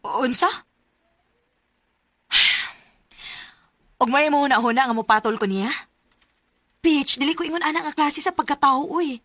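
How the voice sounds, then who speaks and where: A woman's voice: high voice, measured pace, pauses often, pushed and bright, no echo, clean studio sound. A woman playing a sensible, loyal young student in a radio drama, earnest and conversational, with a Cebuano accent. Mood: earnest defensive.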